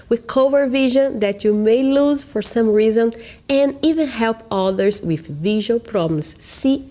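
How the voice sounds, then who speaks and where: A Brazilian woman with a Portuguese accent presents a course in English, earnest and persuasive. Her voice sits a little high, and her phrasing is careful and slightly halting, like someone working in a second language. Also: a little mellow. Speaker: natural vision course instructor.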